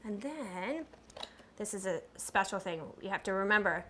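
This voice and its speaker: A woman's voice: mid-range voice, lively intonation, normal speed, pushed and bright. A woman working as a therapist, coaching in simple steps and explaining, encouraging and often checking understanding with questions. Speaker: behaviour therapist teaching a child.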